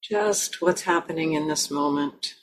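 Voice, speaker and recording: A woman meditation teacher leading a guided meditation in a gentle, unhurried manner with long pauses. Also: deep voice, slight background noise, poor audio.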